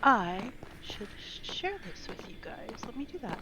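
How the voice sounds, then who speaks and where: Chatty woman streamer, slightly high voice. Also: sing-song, a little slow, in a whisper. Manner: thinks aloud while gathering items, chatty. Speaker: streamer playing a multiplayer block-building game. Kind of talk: live-stream gameplay chat.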